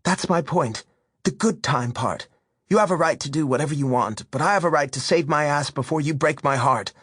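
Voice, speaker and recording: A man's voice: on the high side, normal speed, a bit bright, slightly rough, dry recording, studio quality, pitched above the performer's own voice. A man voicing a jealous older woman who is furious, biting and sarcastic, in a storybook reading. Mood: angry, sarcastic.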